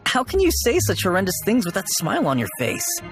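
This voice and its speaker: A man's voice: sits high, huge pitch swings, a little fast, full character voice. Earnest, melancholy young working man. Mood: taken aback. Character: earnest, melancholy young male funeral parlor worker. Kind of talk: video game character dialogue. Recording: game music behind the voice.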